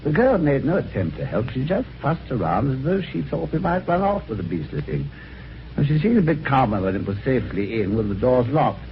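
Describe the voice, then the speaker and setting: An actor playing a genial, chatty British gentleman telling his adventure in an old-time radio drama, with polite, jaunty, conversational phrasing. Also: medium pitch, very animated, fairly quick. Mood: wry storytelling. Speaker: narrator and lead character. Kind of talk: old-time radio drama, first-person narration and dialogue. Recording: muffled, noisy vintage radio recording.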